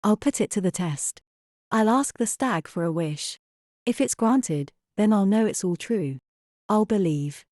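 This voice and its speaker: A woman plays an earnest young mother in an audio drama, torn between doubt and hope. Her voice is soft-edged and mellow at a medium pitch, deliberate in delivery, recorded close and dry. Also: lively intonation, no echo, lightly acted. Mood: resolute, wistful. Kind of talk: amateur audio drama acting.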